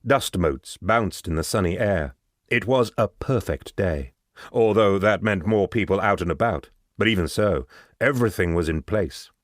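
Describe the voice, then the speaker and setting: A man reading scripted literary prose as an actor, in a measured, descriptive storytelling manner. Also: fairly low pitch, no echo, clean studio sound.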